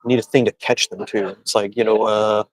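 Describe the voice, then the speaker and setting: A man chatting casually, quick and curious, asking lots of questions. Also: fairly low pitch, level tone, dry recording, rough recording.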